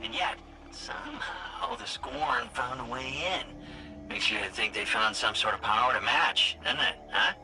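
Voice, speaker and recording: An actor playing an eager, rueful informant in a video game, talking in a hesitant, chatty, excitable way. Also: male voice, mid-range voice, unhurried, soft background music, rough recording. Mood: nervous. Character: eager, rueful male informant pleading his good faith. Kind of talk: video game dialogue acting.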